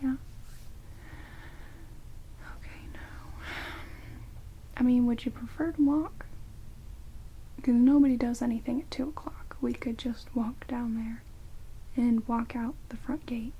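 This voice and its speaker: A woman playing a sardonic, playful vampire caretaker in a soft, breathy ASMR roleplay whisper. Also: a little high, slow, long pauses, muffled recording. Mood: dry teasing. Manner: teasing, dry, casually chatty. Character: sardonic immortal female vampire, rude yet playful caretaker. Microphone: close binaural microphones. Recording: steady rain noise on binaural recording. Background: heavy rain.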